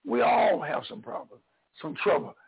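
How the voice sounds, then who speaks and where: Older Southern preacher, hoarse and gravelly, high lively voice, phone-line sound. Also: male voice, normal speed, crowd noise. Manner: preacherly, swings between loud and soft. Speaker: pastor. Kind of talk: encouraging sermon.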